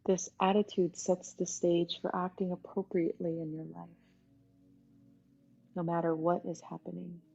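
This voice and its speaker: A woman leading a guided meditation as its teacher, talking in an unhurried way with pauses between short phrases. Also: on the low side, level tone, vocal fry, warm tone. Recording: dull lo-fi sound, music behind. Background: music.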